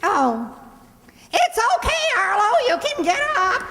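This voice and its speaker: A woman playing a sly, shrill, melodramatic debtor's wife in a radio comedy, with theatrical, drawn-out delivery. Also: huge pitch swings, pushed and bright, heavily acted. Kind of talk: old-time radio comedy acting on stage. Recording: noisy echoey hall, long reverb.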